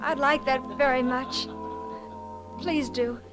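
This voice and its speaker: A woman's voice: sits high, steady tone, slow, loud music behind the voice. An actress playing a sweet, nervous young small-town woman in old radio drama style, polite and hesitant. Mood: tender. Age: young adult. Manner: breathlessly hesitant, courteous. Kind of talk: 1940s-50s radio drama acting.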